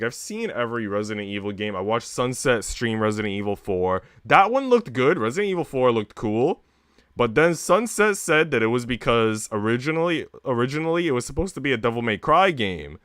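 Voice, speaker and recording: A man's voice: medium pitch, a bit bright, clean tone, no echo, studio quality. A man talking casually on a livestream, rambling along in slangy speech and swearing freely. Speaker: streamer reading a visual novel aloud.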